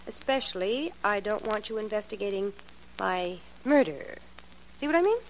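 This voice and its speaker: Hard-boiled dame, lively voice, blunt and streetwise played by a woman, radio play with faint hiss. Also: mid-range voice, moderate pace, close to an everyday voice, low-quality recording. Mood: tough. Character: tough, wisecracking young woman in danger. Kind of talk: 1940s radio acting.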